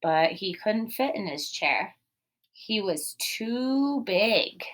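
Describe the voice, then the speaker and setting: Lady teacher doing a kids read-aloud, normal pitch, expressive, explains stuff and asks questions. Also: drawn-out words. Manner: expressive, explanatory, addresses young children.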